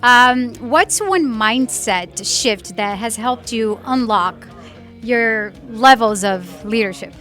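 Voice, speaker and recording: Bright high-voiced woman interviewer. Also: takes it slowly, soft background music. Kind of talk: friendly interview questions. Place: conference venue.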